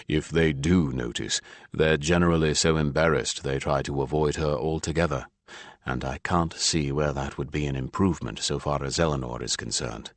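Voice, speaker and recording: A man voicing a calm, thoughtful husband and father in an audiobook, speaking in a dry, measured way. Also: no echo. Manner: dry, low-key, measured.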